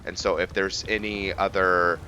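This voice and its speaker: A man giving casual gameplay commentary and explaining character lore, haltingly thinking aloud as he goes. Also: low-pitched, flat delivery, pushed and bright, slight background noise, low-quality recording. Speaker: gameplay host and role-player.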